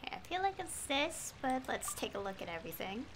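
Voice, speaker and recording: A woman giving casual, animated live commentary as she streams a game. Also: fairly high pitch, quick pace, background noise.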